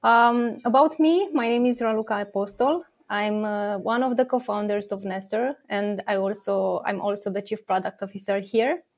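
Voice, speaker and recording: A woman's voice: medium pitch, fairly even intonation, moderate pace, gravelly, few pauses. Woman explaining earnestly with fillers. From Romania. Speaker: startup co-founder and product officer guest. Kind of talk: interview answers.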